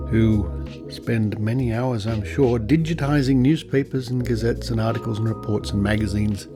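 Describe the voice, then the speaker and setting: Deliberate Australian male podcast host over music. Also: medium pitch. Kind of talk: scripted podcast narration with asides. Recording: music behind the voice.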